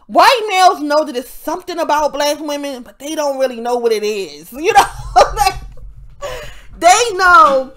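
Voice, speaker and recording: A woman's voice: sits high, lively intonation, moderate pace, sharp tone, slight background noise. A woman talking straight to her viewers in a loose, rambling vlog. Her voice is nasal and stuffed up from congestion, and her speech is peppered with profanity and short bursts of laughter. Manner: profane, rambling, frequent self-interrupting laughs. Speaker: vlogger. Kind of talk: casual rambling monologue.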